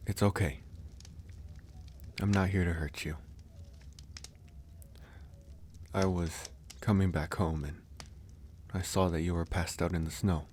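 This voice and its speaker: A man playing a gentle, reassuring young werewolf who lives alone on a mountain, soft-spoken in an ASMR roleplay. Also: low voice, fairly even intonation, normal speed, long pauses, slight background noise, natural voice.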